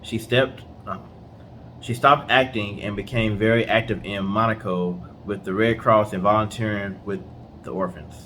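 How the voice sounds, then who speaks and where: A man's voice: fairly low pitch, steady tone, moderate pace, bright, forceful tone, slight background noise, low-quality recording. A man co-hosting a storytelling show in a casual, conversational style. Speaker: co-host of a storytelling guessing show.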